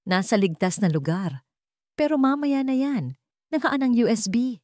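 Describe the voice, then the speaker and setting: A woman's voice: a little high, animated, normal speed, darkish tone, dry recording, studio quality, voice pushed up, natural voice. Woman voicing a smug, cold male villain. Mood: smug, mocking. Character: cold, greedy, deceitful male villain, former lover. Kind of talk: acted dialogue in an audio love story.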